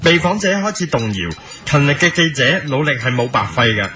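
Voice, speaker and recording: A man's voice: a little high, big pitch swings, brisk, sharp tone, soft background music. A man playing an earnest, obstinate young TV news reporter with a pompous manner and a Hong Kong Cantonese accent, in a comic radio drama. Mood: solemn reporting. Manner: pompous, doggedly repetitive questioning.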